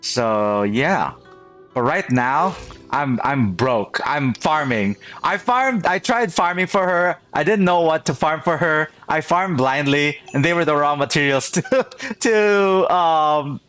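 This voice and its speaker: A man's voice: a little high, expressive, takes it slowly, fairly bright tone, smooth. Rambling male game streamer over music. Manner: rambling, repeats phrases. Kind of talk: casual livestream chat to viewers.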